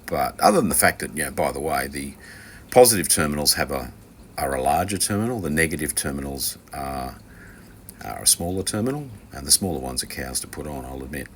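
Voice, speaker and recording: An extremely low-pitched man with a bright edge to his voice talks through a hands-on task as an instructor. His delivery is informal and unscripted, wandering and hesitant, with dry asides. Faint noise sits behind him. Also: animated, brisk. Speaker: instructor from a loudspeaker kit maker.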